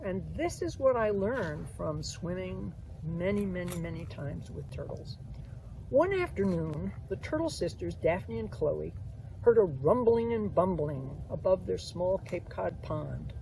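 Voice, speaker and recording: An older woman reading a children's story aloud outdoors, with deliberate, expressive delivery and asides. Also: lowish voice, vocal fry. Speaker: children's book author and storyteller. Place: outdoors on a sandy pond beach. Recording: outdoor ambient noise, somewhat distant.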